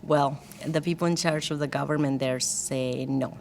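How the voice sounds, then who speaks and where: A woman's voice: deep voice, steady tone, moderate pace, vocal fry, a little mellow, a little hiss or hum. A woman from Costa Rica with a Costa Rican Spanish accent, telling her personal story in a candid, chatty, lightly joking way as an interview guest. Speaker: guest, church worship team leader.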